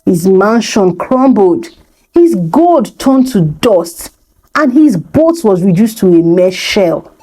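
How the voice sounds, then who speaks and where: A woman's voice: medium pitch, sing-song, slow pace, warm tone, low-quality recording. A woman narrating a folktale as a storyteller, reading from a script.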